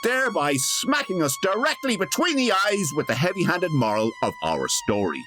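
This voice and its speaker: A man's voice: high-pitched, sing-song, moderate pace. A man giving scripted comic narration in a theatrical, wry manner. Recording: loud music behind the voice. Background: musical score.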